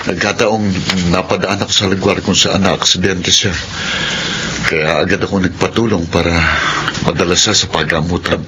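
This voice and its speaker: A man's voice: sits low, steady tone, normal speed, background noise, natural voice. A man playing a humble, respectful young working man in a Filipino radio drama. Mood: humble, forgiving.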